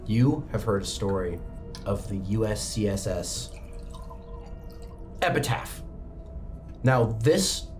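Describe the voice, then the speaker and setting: A man working as game master, narrating a tabletop roleplaying game in a dramatic, immersive way. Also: fairly low pitch. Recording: music bed under the voice. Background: background music.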